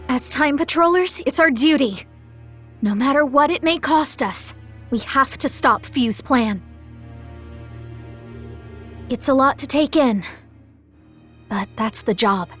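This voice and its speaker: High-pitched, spirited little goddess leader. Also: female voice, husky. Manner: brisk, cheeky, earnest authority. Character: bossy, spirited small female deity commanding time patrollers. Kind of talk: English anime game dub acting.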